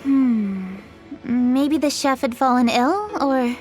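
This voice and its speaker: A woman's voice: high-pitched, slow pace, loud music behind the voice. A woman playing a composed, dutiful young noblewoman in an anime game, speaking politely and thoughtfully in a refined manner. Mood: attentive.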